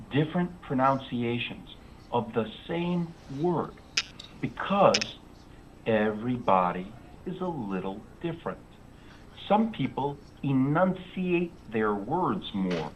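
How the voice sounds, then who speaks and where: A man's voice: mid-range voice, very animated, takes it slowly, a little hiss or hum. A middle-aged man with a New England American accent, coaching English over a video call in an encouraging way and repeating himself for emphasis. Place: indoor room. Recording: slightly dull video call audio.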